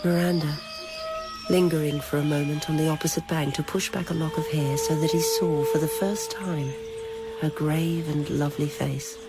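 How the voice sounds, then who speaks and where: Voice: medium pitch, fairly even intonation, normal speed, over loud music. A narrator reading literary, scripted prose for a radio drama. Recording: noisy old radio recording.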